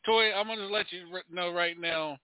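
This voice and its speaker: A playful man hosting a radio show in a loose, easy chat. His voice sits a bit high and sounds close and dry. It comes through a thin, phone-like line. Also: normal speed, no echo. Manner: playful, hums along. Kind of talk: casual chat.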